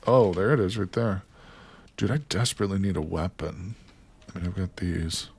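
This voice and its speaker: A man with a low, gravelly voice chats casually while he plays a survival game live. He greets viewers by name and jokes with them between tasks, typing now and then at a keyboard. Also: moderate pace, darkish tone. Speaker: live streamer.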